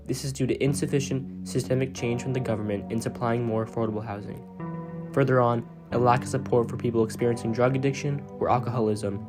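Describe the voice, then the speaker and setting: Fast-talking young male narrator. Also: medium pitch. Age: teens to young adult. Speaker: student video narrator. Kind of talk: scripted narration.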